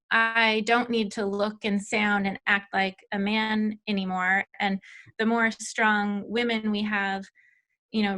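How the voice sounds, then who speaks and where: A woman who founded a company, speaking candidly to an audience with a rather high-pitched voice and many fillers. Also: bright, forceful tone. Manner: candid, filler-heavy speech. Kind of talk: personal talk to an audience.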